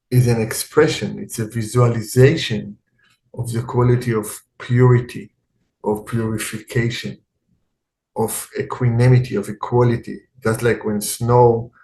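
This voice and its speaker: A man teaching guided meditation in a calm, unhurried way, speaking in short phrases with long pauses. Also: fairly low pitch, creaky.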